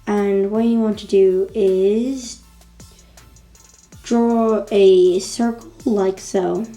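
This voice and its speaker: Woman, croaky voice, very dark and mellow, chatty drawing lesson. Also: medium pitch, fairly even intonation, drawn-out words, faint music behind. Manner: chatty, repeats instructions with fillers. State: croaky, losing her voice. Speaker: drawing tutorial host. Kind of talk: casual step-by-step drawing tutorial.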